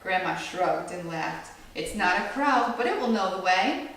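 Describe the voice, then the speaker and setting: A woman reading a picture book aloud to children as a storyteller, with animated delivery that acts out the quoted lines. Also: fairly low pitch, measured pace. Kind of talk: expressive read-aloud storytelling. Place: echoey indoor room with children listening. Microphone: far from the reader. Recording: distant mic, very echoey room, faint noise.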